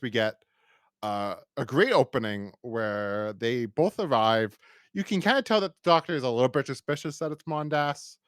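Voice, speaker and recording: A man's voice: fairly low pitch, a bit bright, smooth, no room sound, studio quality. A man hosting a podcast, talking casually in a rambling, opinionated and emphatic way as he reviews. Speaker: podcast host.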